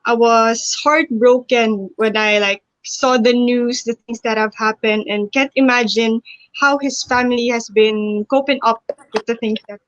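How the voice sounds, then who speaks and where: A woman with a Filipino accent speaking as a guest in a casual video call, earnest and a little halting. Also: fairly high pitch, fairly even intonation, dry recording. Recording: dull dry call audio.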